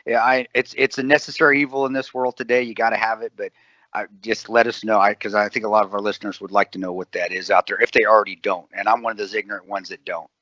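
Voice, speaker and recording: A man's voice: on the low side, fairly even intonation, fast talker, bright, forceful tone, dry recording. A man in his forties hosting a podcast, talking in a chummy way and asking long, rambling questions. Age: 40s. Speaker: podcast host. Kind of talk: casual interview talk. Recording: dry, dull lo-fi podcast audio.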